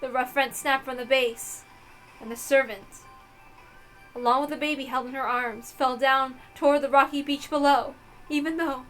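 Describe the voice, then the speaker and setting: A woman's voice: sits high, low-quality recording. A woman voicing a proud, guilt-ridden mother confessing murder in a dramatic, emotional visual novel read-aloud. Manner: theatrical, emotional, swinging from hushed to impassioned.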